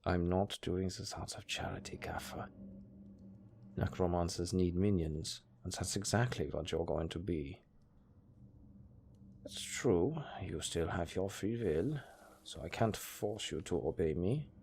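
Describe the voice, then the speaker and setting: A man with a German accent playing a cold, condescending necromancer master in an audio roleplay, speaking in a deadpan, unhurried, gravelly way. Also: low-pitched, slight background noise, heavily acted. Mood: commanding, coolly menacing. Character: cold, dryly matter-of-fact male necromancer master.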